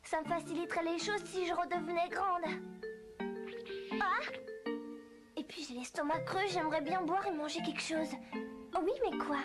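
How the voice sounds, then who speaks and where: A woman voicing a polite, bewildered little girl in an animated fairy tale, hesitant and earnest as if talking to herself. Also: extremely high pitch, moderate pace, pauses often, over loud music. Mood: musing, fretful. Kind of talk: French animated film dubbing.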